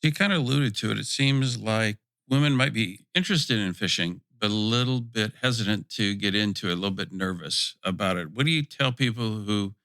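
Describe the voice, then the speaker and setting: A man with a forward, bright and clean voice, pitched a little low. He speaks casually as a podcast co-host asking questions, on a dry recording. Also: fairly quick, few pauses, dry recording.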